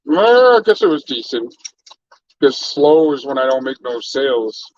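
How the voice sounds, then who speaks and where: A man's voice: mid-range voice, sing-song, measured pace, no room sound, muffled recording. A man from Canada hosting a casual livestream chat, laid-back and rambling as he greets viewers by name. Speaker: livestream host.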